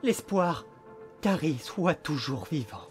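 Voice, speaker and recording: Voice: medium pitch, sing-song, slow pace. A performer voicing a small talking creature, a cocky amnesiac detective with a sarcastic, dramatic, wisecracking manner, in a French film fan dub. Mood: earnest, hopeful. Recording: music behind the voice.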